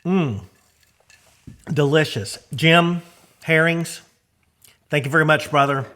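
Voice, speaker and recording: A man's voice: fairly high pitch, slow, bright, forceful tone. A middle-aged American man hosting a vlog, talking in a casual, rambling, folksy way with plenty of informal slang. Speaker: host of a garage vlog.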